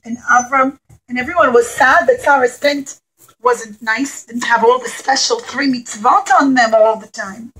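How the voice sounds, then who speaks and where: Female children's storyteller with a high voice, simple phrasing, direct address to young listeners. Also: fairly even intonation, measured pace, a bit bright, low-quality recording. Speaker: children's puppet show storyteller.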